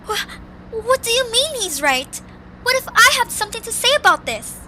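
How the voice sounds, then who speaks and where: A woman voicing a headstrong, earnest and excitable young girl creature in an amateur cartoon audio drama. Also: very high voice, measured pace, a little hiss or hum, exaggerated voice, low-quality recording. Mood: excited, indignant.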